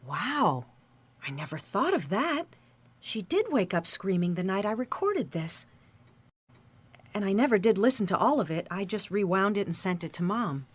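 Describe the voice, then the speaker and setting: An actress playing a young, excitable, chatty office worker in a radio drama, gushing and earnest. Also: female voice, mid-range voice, moderate pace, husky. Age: young adult.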